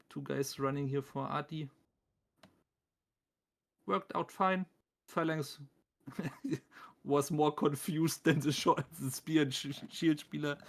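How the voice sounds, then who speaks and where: A man's voice: mid-range voice, vocal fry. A man with a thick German accent doing live esports commentary on a game, casual, joking and self-deprecating as he talks to his viewers.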